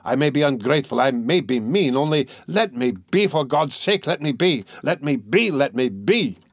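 A man reading an audiobook as a feverish, irritable young man, defiant and frantic, between quiet venom and pleading. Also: on the high side, big pitch swings, normal speed, no echo, clean studio sound, pitched above the performer's own voice. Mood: exasperated pleading. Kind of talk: dramatised audiobook dialogue.

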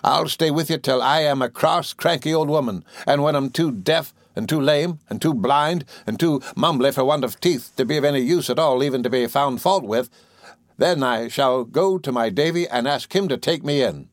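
A man's voice: mid-range voice, normal speed, no echo, clean studio sound, pitched above the performer's own voice, lightly acted. A man voicing a blunt, effusive, devoted woman servant in a storybook, with fussing, colloquial exclamations. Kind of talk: audiobook character voice.

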